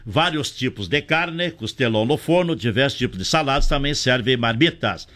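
A man's voice: medium pitch, lively intonation, normal speed, fairly bright tone. A middle-aged man with a gaúcho Brazilian accent hosting a regional radio talk show, joking and reading ads and listener messages. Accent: gaúcho Brazilian Portuguese. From southern Brazil.

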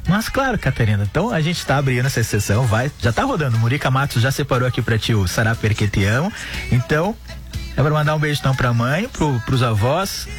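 A man hosting a live radio show in a friendly, chatty, animated way. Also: medium pitch, quick pace. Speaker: radio host. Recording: faint music behind.

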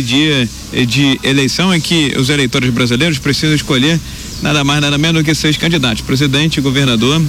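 A man with a Brazilian Portuguese accent, reporting live and relaxed from outside a polling station with long queues. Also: a little high, slight background noise. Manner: joking and relaxed, then informative. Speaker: field reporter. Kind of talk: live election-day news report.

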